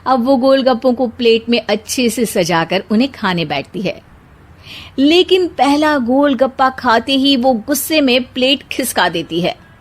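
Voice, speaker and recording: A woman narrating a cartoon story in a steady, matter-of-fact scripted style. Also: sits high, a little slow.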